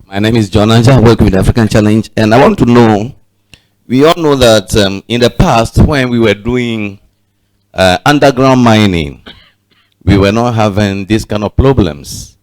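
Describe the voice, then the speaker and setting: A man's voice: fairly low pitch, moderate pace, darkish tone, no room sound, rough recording. A man from the audience asking the panel a direct, earnest question. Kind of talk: question from the floor.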